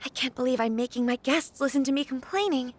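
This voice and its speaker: Casting: woman playing a young noblewoman for a fantasy game, high-pitched, courteous, conflicted and hesitant. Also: quick pace, a little hiss or hum, lightly acted. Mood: doubtful, apologetic. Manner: polite, earnest, hesitant with sighs. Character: troubled young noblewoman, polite and conflicted about her wedding. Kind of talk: video game voice acting, scripted dialogue.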